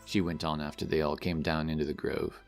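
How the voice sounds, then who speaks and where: A man's voice: a bass voice, flat delivery, a little fast, music in the background. A man narrating a scripted fantasy story as the narrator of an audio drama.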